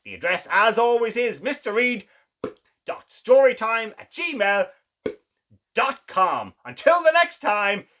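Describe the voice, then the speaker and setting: A man hosting and reading children's stories aloud in a theatrical, exclamatory way with dramatic pauses. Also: very high-pitched, big pitch swings, bright, forceful tone. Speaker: children's story reader, show host. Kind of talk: animated children's storytelling.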